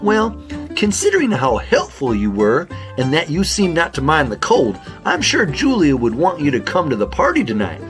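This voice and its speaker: Raccoon detective , male actor, earnest boss type, highish and highly animated; kids' mystery radio play. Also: moderate pace, soft background music. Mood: businesslike. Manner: polite, businesslike, flustered when surprised. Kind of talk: children's audio drama.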